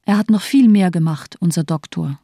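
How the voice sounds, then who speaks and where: A woman's voice: lowish voice, moderate pace, mellow, dry recording, clean studio sound. A woman playing a cold, mocking and unmoved doctor in a radio crime drama, cool and matter-of-fact. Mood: mocking, nonchalant.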